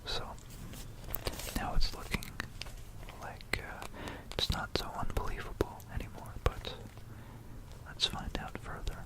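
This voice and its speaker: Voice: measured pace, low-quality recording. An ASMR presenter narrating history in a whisper, musing aloud between passages, close to a stereo microphone. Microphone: close stereo binaural microphone.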